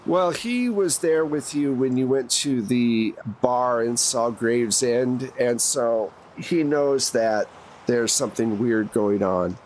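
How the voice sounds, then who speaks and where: Man, game master, medium pitch, casual tabletop narration, faint noise behind. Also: slow, darkish tone, rough recording.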